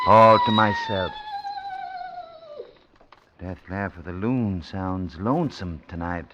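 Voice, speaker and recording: A man's voice: low voice, exaggerated voice. An actor playing a greedy, secretive, irritable man in a 1940s radio drama, brooding, snappish and muttering. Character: Hugo, greedy secretive irritable man hiding on swamp houseboat. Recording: noisy, dull 1940s radio recording.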